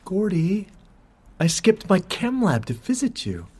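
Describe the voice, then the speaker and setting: An actor playing a caring young female student who speaks gently and affectionately, with a soft, breathy voice. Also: high-pitched, wildly swooping pitch, measured pace, voice pushed up, heavily acted. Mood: tender.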